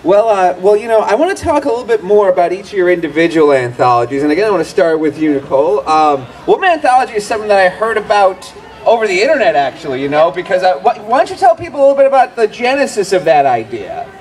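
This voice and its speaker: Playful, lively man moderating a panel. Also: high-pitched, fast talker, a little hiss or hum, rough recording. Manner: joking, playful interjections. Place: outdoor book fair stage in a park. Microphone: handheld microphone.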